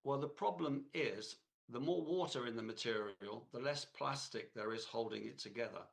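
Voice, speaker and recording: A man with a cold, hoarse, giving a technical lecture in a halting way with many fillers and restarts. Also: medium pitch.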